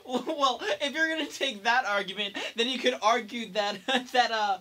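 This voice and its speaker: A man's voice: very high-pitched, moderate pace, bright, forceful tone. A young man chatting casually as a podcast co-host. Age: young adult. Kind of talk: casual chat.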